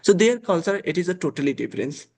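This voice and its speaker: A young man from Nepal speaks over a video call. His voice sits a little high, and he keeps stopping to search for words, padding his sentences with uh and like. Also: very animated, quick pace, a little mellow, husky. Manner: hesitant, many fillers, uh and like. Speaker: interviewee. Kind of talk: casual video call chat.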